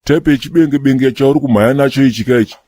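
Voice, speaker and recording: A man's voice: mid-range voice, very fast, mellow, close to an everyday voice. A man with a Zimbabwean accent voicing a sly, laid-back Rastaman in a comedy cartoon, slangy and mocking.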